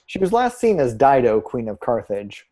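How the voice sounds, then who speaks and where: A man playing a measured, analytical co-host of a theatre prediction show, trading scripted podcast commentary. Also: mid-range voice, big pitch swings, warm tone. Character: measured, analytical co-host giving casting odds.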